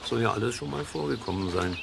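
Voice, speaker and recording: A curious, stubborn nature sleuth in a children's audio drama. His voice is a man's, crackly with fry, and he mulls things over half to himself. Clear outdoor animal ambience sits behind him. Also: medium pitch, expressive, fast talker. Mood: musing. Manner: musing, muttering to himself. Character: curious, stubborn male amateur nature sleuth named Peter. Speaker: main character of the series. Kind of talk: German children's radio play acting.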